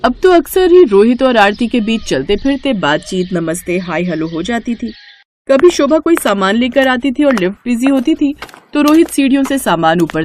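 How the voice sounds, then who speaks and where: A woman's voice: mid-range voice, slight background noise. A woman reading a scripted story as narrator.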